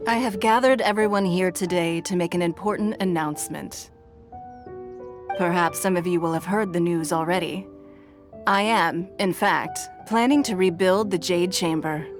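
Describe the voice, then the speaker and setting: A woman plays a wealthy, powerful lady in a video game. She speaks in a fairly low voice, formal and self-possessed, as one used to giving orders. Music is clearly heard behind her. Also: normal speed, natural voice. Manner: composed, formal, commanding. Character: poised, authoritative noblewoman tycoon.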